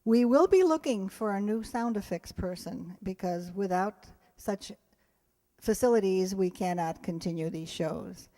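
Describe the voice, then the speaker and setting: A woman's voice: mid-range voice, unhurried, mellow. Chatty woman making live announcements. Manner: chatty, unscripted, rambling. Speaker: spokesperson for a community radio players group. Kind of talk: informal closing announcements to an audience. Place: live before an audience.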